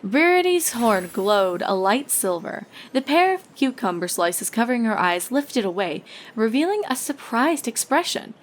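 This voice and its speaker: A woman's voice: on the high side, sing-song, normal speed, few pauses. A woman reading scripted story narration as the narrator of a fan fiction tale.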